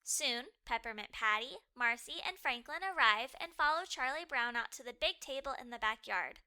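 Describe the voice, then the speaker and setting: Woman doing a kids read-aloud, really bright clear voice, super expressive storybook narration. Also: high-pitched, moderate pace. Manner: expressive, emphatic reading for young listeners.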